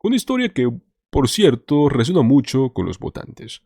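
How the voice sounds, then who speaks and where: A man's voice: medium pitch, big pitch swings, normal speed, dark tone, dry recording, clean studio sound. A man hosting a political commentary show, talking to viewers in an emphatic, scripted way. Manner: emphatic, addresses viewers as "señores".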